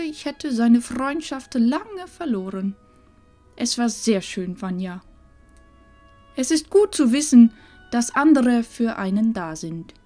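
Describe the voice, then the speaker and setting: A woman playing a wise old village grandmother in a fantasy audio drama, calm, measured and gently probing. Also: on the high side. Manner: measured, knowing.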